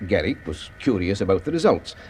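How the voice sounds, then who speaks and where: A man playing a grave, secretive radio astronomer with a Scottish accent, phrasing deliberately in a radio drama. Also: sits low, sing-song, a little mellow, a little hiss or hum. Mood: guarded, confiding.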